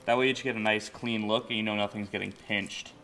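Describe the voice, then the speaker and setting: A man's voice: on the low side, steady tone, fast, bright, forceful tone. A young man talking informally as he hosts a hands-on how-to vlog, explaining each step. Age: young adult. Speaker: host of an off-road install channel. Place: home garage, working around a vehicle. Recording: noisy garage action-camera audio with clicks.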